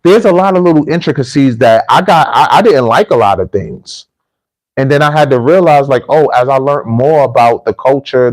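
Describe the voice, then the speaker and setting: An American man hosts a casual livestream in a medium-pitched voice. He speaks informally and directly to his viewers. He often circles back and repeats a phrase to stress it. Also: darkish tone, low-quality recording. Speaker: livestream host and repatriation coach. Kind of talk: casual livestream talk, addressing viewers and telling anecdotes.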